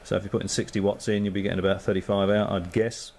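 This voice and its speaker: A man's voice: lowish voice, flat delivery, fast, vocal fry, a little mellow, a little hiss or hum. A man on amateur radio, chatting casually and thinking aloud with lots of fillers. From Australia.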